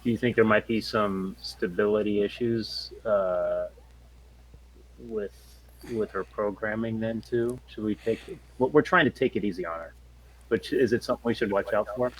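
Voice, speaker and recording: A man's voice: lowish voice, moderate pace, low-quality recording. A man playing a tabletop game, talking casually and tentatively as he thinks aloud.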